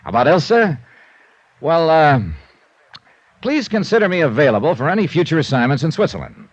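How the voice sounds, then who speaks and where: Golden-age radio lead: tough, wry American investigator, animated delivery, confident and clipped, muffled vintage sound. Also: male voice, on the high side, moderate pace. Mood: dry, wry. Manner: punchy, hard-boiled, sardonic. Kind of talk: 1950s radio acting and first-person narration. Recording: dull old radio recording with music.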